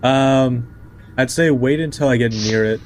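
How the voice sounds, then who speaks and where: A man's voice: medium pitch, a little slow, a little mellow, slight background noise. A man talking casually as a player in a tabletop role-playing game. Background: background music.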